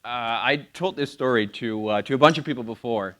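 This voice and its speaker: Loud chatty man on a theater stage. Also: mid-range voice, a little fast. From outside the US. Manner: loud, chatty, self-deprecating. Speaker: conference speaker. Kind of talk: conference talk.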